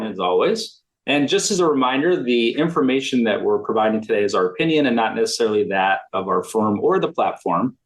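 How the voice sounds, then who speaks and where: A man hosting a financial podcast, conversational and friendly with quick affirmations. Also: medium pitch. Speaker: podcast host. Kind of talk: podcast interview.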